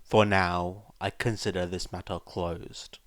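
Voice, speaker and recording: Man playing a stern, low-voiced old clan leader. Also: little pitch movement, takes it slowly, a bit bright, no echo, studio quality. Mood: firm. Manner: curt, commanding.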